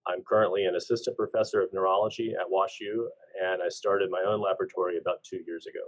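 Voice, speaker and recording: A man's voice: deep voice, steady tone, rough recording. A male neurologist from Georgia in the US, introducing himself politely and measuredly as a guest. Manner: polite, measured, a few restarts.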